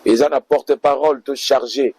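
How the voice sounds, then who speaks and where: Husky man giving a campaign-style interview. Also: medium pitch, fairly even intonation, a little fast, darkish tone. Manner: speechlike, addressing the public. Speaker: political party official. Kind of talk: interview answers.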